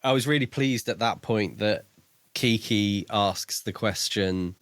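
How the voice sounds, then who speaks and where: A man co-hosting a podcast, discussing a book in a casual, measured and reflective way. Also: fairly low pitch, a bit bright, dry recording. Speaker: podcast co-host.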